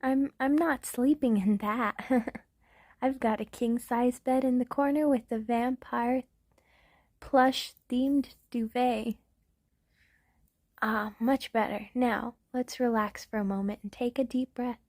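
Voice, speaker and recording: A woman's voice: on the high side, fairly even intonation, slow pace, a little mellow, no room sound, clean studio sound. A woman voicing a clumsy, goofy male vampire count in a comedic ASMR roleplay, giggly and theatrical. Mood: playful. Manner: giggly, theatrical, breaks into laughter.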